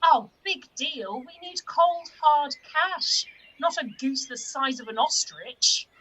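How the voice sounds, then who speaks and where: A woman playing a cheeky, excitable, money-minded young daughter in a radio pantomime. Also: sits high, takes it slowly, full character voice, rough recording.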